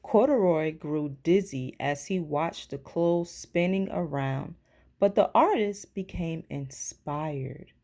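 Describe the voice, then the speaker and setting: A woman narrates a children's storybook in a teacher's read-aloud style. Her voice is lowish and mellow, with a creaky edge. She reads slowly. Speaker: teacher reading a picture book aloud.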